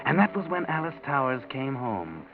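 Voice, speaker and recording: A sinister night-walking storyteller, comes through a narrow old radio recording with music clearly behind him. He speaks in a mid-pitched voice, measured and portentous, as an all-knowing 1940s mystery narrator. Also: sing-song. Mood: foreboding storytelling.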